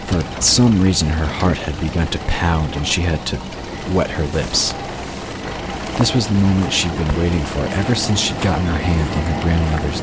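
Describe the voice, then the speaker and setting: A man reading scripted fiction as the narrator of an audio drama. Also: rumbling low. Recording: clear ambient noise under voice.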